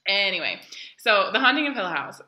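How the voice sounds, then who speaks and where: A woman's voice: medium pitch, sing-song, a little fast, crowd noise. A woman with an American accent from New York City, co-hosting a casual podcast with giggly, blunt, sometimes profane banter. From New York City, United States. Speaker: podcast co-host. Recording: roomy, echoey indoor sound.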